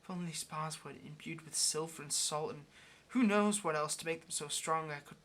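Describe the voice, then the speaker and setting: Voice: medium pitch, moderate pace, a bit bright, close to an everyday voice. A performer playing a lonely, pleading, affectionate caged demon in an intimate ASMR roleplay, speaking in a hesitant, coaxing way. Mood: wistful, shy. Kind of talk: ASMR roleplay, intimate one-sided conversation.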